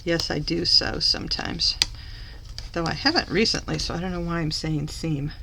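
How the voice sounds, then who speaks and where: A woman recovering from a cough, slightly hoarse, chatting through a papercraft tutorial as she works and correcting herself along the way. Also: low voice, steady tone, moderate pace, pushed and bright, a little hiss or hum, rough recording. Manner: chatty, self-correcting, talks while working. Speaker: papercraft demonstrator. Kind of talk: live craft tutorial.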